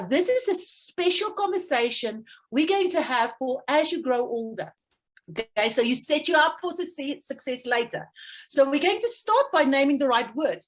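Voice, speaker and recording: High-voiced Afrikaans-woman coach. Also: breathy edge. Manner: warm storytelling, laughs often, checks in with "okay". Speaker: parenting coach. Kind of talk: interview guest explaining.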